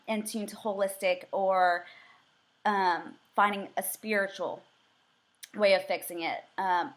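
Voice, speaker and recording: A woman's voice: mid-range voice, fairly bright tone. Earnest young woman in mock interview. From United States. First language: Vietnamese. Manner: earnest, eager, frequent "um" fillers. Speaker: job candidate. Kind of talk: mock job interview answers.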